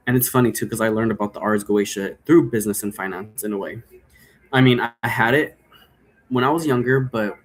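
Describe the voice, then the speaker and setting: A man giving a rambling, informal explanation, thinking aloud and wandering between points. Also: fairly low pitch. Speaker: game creator explaining role-play rules. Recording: noticeable background noise.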